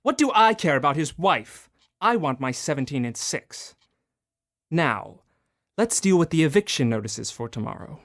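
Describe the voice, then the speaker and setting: A man's voice: a little high, very animated, moderate pace, a bit bright. Snarling old miser, played by a man, scornful and cold, voice swinging wide in a radio play. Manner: snarling, scornful, barking orders. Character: miserly bitter old male moneylender. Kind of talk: student radio drama acting.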